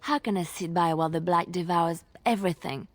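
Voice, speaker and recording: A woman's voice: lowish voice, brisk, dry recording, natural voice. An actress playing a devout, dreamy young woman bard in a video game, earnest and wistful. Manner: earnest, wistful, drops to a whisper.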